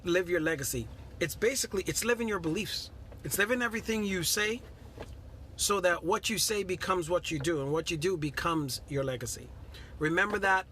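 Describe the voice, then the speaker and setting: Earnest middle-aged man, bright voice. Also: on the high side, a little hiss or hum. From Guyana. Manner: earnest, repeats himself, greets viewers by name. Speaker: motivational live-stream host. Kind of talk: casual motivational talk.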